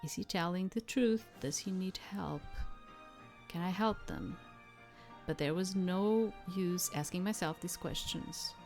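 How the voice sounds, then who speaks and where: Slow, lowish-voiced woman reading a visual novel. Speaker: game streamer. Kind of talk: let's play, reading visual novel narration aloud with casual asides. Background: soft game music.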